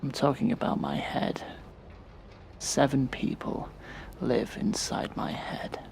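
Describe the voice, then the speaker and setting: An actor playing a weary, resentful man of about thirty in an audio drama, speaking in a bitter, sardonic and deliberate way. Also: medium pitch, lively intonation, vocal fry, a little mellow, slight background noise. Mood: frustrated. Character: weary, resentful thirty-year-old man confiding in a therapist. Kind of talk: audio drama acting, monologue.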